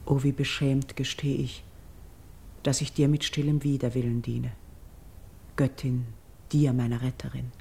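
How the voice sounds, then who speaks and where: A slow, creaky-voiced grieving priestess. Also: female voice, extremely low pitch, level tone, full character voice. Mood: pleading, devout. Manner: solemn, declamatory, measured, at times hushed. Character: grieving exiled royal priestess, noble and devout. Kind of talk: classical verse drama radio acting.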